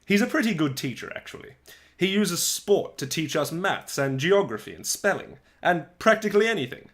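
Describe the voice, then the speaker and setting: A man voicing a chatty, wry eleven-year-old soccer-mad schoolboy in a dramatic storybook reading, conversational with animated asides and pauses. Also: high voice, pitched above the performer's own voice. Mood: eager.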